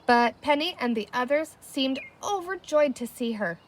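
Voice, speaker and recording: A woman reads a children's book aloud in a scripted narrating style. Her voice is on the high side and very expressive in its rise and fall. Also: normal speed. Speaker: storybook reader.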